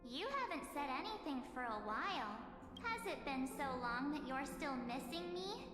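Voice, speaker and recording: A woman's voice: high-pitched, expressive, normal speed, reverberant, big-room sound, music in the background. An actress playing a bubbly, chatty, pushy teenage girl companion in an anime-style video game, gushing and impatient. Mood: breezy, impatient.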